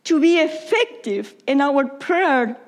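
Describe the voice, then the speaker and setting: Slow, high-voiced Argentine woman preaching. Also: animated. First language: Italian. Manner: halting, repetitive phrasing in non-native English, sometimes dropping to a whisper. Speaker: pastor. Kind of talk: sermon. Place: church service.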